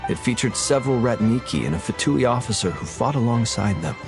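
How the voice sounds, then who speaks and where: A man playing a composed, courteous gentleman soldier in an anime-style game, formal and thoughtful in his wording. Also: mid-range voice, animated, fairly quick, over music, lightly acted. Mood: courteous, reflective.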